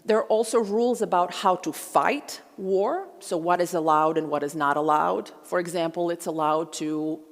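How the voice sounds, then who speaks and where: Middle-aged woman from Croatia, lecturer, low gravelly voice, measured delivery, echoing hall. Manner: measured, with frequent ums and uhs. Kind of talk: public lecture. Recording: long hall reverb.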